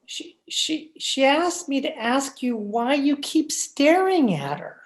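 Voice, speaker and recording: A woman's voice: sits high, lively intonation, slow pace. A woman playing a timid, henpecked husband who stammers and hesitates, in old time radio horror style. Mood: nervous, pleading. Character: timid, henpecked, frightened husband, a meek little man. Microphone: video call.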